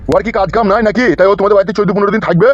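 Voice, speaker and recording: A man as a cranky, high-pitched old village father. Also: fairly even intonation, rapid-fire, close to an everyday voice. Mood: indignant. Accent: rural West Bengal. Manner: blunt, mocking, scolding. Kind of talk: comedy cartoon dialogue. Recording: dull, very noisy with clicks.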